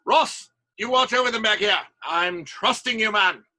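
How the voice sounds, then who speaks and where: A man's voice: sits high, normal speed, sharp tone. A man playing a bossy, imperious playwright who leads a theatre troupe, with dramatic delivery in an audio play. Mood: brisk orders.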